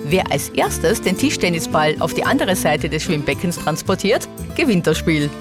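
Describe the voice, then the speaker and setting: A woman presenting a scripted tip segment on children's radio, explaining a game in a friendly, clear way. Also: deep voice, big pitch swings, moderate pace. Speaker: radio presenter giving kids' tips. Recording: music bed behind the voice.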